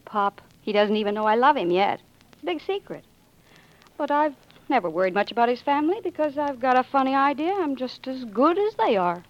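A woman's voice: sits high, expressive, fairly quick. An actress playing a plucky young working-class American woman in love, wry and dreamy, in 1940s radio drama style. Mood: defiant, smitten. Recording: muffled vintage radio recording with music.

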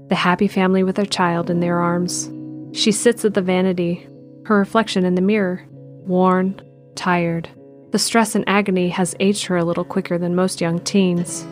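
Measured woman narrator. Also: lowish voice, faint music behind. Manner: calm, measured, descriptive. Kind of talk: scripted narration.

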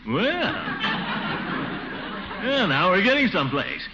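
Blustery middle-aged uncle, fussy yet tender, highish swooping voice, muffled vintage radio comedy with clear noise. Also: male voice, very animated, crowd noise, full character voice. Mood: doting, chuckling. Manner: theatrical, blustery, drawn-out words and chuckles. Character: pompous, fussy middle-aged uncle, easily exasperated but soft-hearted. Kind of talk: 1940s radio comedy acting. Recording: noisy, muffled 1940s radio broadcast.

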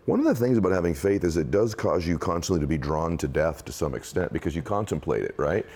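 A man hosting an interview who talks quickly and assertively. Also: sits low, sing-song, darkish tone, slightly rough. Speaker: host. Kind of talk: interview conversation.

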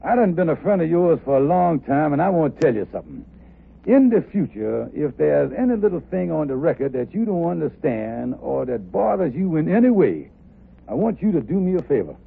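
A blustering, folksy schemer from old radio comedy. His voice rides high with gravelly creak, and his grammar is homespun. It comes through a tinny, narrow vintage radio recording. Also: sing-song, fairly quick, heavily acted. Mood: exasperated. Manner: folksy dialect with ungrammatical phrasing. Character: wily, blustering lodge leader, chummy, exasperated with his friend. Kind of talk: 1950s radio comedy acting.

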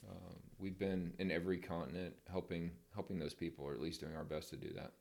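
Middle-aged veteran guest, very low voice, measured and firm. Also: male voice, flat delivery, gravelly, a little mellow. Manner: measured, plain-spoken, firm opinions. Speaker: podcast guest, military veteran. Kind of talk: casual interview chat.

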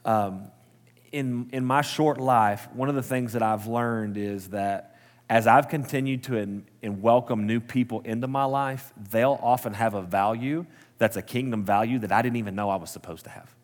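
A man's voice: medium pitch, normal speed, in a large hall. A man, preaching a sermon in a casual, earnest way that restarts and corrects itself. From Texas. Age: 30s. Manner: conversational, self-correcting, earnest. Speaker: pastor. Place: church sanctuary.

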